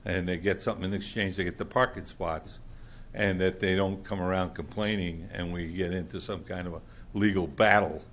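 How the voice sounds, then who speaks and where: A man with a loud, booming voice giving a blunt, plainspoken public comment at a council meeting. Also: steady tone, normal speed, slight background noise. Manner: blunt, plainspoken, with many fillers. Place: council chamber.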